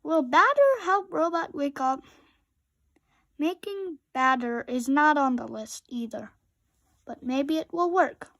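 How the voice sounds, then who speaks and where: A young storybook rabbit, acted out by a woman, with a very high, exclamatory voice. He is fussy and easily exasperated, prone to wailing and shouting his complaints at an unhurried pace. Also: expressive, dry recording, heavily acted. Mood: puzzled. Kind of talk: children's storybook character voice.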